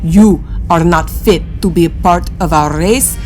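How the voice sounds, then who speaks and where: A woman's voice: fairly low pitch, a little slow, faint music behind, lightly acted. A woman playing a proud, defiant fleet admiral in a space audio drama, stern and scornful. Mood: cold fury.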